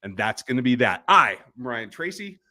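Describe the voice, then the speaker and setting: A man's voice: mid-range voice, very animated, moderate pace, bright, forceful tone. A man hosting a live post-game sports reaction show, with a fired-up, ranting delivery. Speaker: podcast host.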